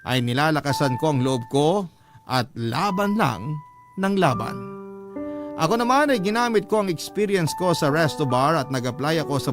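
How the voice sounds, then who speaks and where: A man with a Filipino accent reading a listener's letter as an earnest, measured radio storyteller. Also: fairly high pitch. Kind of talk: dramatic scripted narration. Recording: prominent music bed under the voice. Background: music.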